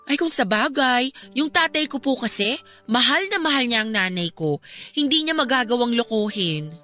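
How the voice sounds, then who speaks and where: Woman acting polite but persistent bright-voiced young woman. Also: fairly high pitch, animated, normal speed, faint music behind. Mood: earnest, pointed. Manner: polite, earnest, pointed. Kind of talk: radio drama acting.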